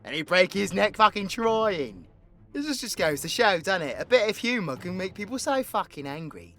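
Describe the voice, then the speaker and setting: A man's voice: sits high, sing-song, normal speed, pushed and bright, heavily acted. A man with a British accent playing a cocky, foul-mouthed, sarcastic supervillain who tells stories in an audio roleplay. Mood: mocking, animated. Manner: cheeky, sweary, colloquial, theatrical swings into exclamation. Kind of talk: villain audio roleplay, rambling comedic storytelling.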